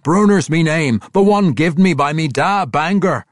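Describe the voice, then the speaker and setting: Proud gruff dwarf king done by a guy, fantasy story, loud n defiant, voice pushed up high. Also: animated, measured pace, no room sound, clean studio sound. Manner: forceful, emphatic declarations in rustic old dialect. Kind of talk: fantasy audiobook character voice.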